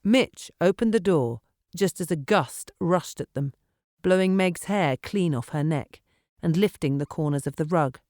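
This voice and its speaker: A woman audiobook narrator reading dramatic fiction in an expressive, measured storytelling manner in a studio. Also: fairly low pitch, dry recording. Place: dry recording studio.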